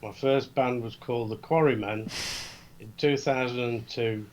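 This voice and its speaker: A man reading out quiz questions in a steady, matter-of-fact way on a casual live show. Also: mid-range voice, moderate pace, muffled recording, a little hiss or hum. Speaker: quiz guest.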